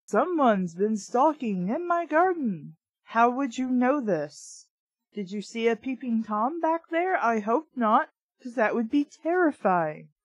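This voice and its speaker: A woman with a slightly high voice reads a children's book aloud at an unhurried pace. She is casual and conversational, often rambling into snarky asides. The recording is dry, with very little room sound. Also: very animated, breathy edge, no echo, low-quality recording. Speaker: host reading a children's book aloud with commentary.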